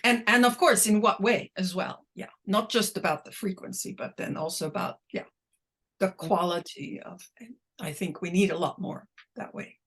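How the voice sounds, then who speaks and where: A woman whose first language is Dutch, speaking deliberately and a little slowly as an invited academic answering questions on a video call. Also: medium pitch, fairly bright tone, husky. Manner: deliberate, slightly slowed and exaggerated. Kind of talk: online academic talk, Q&A.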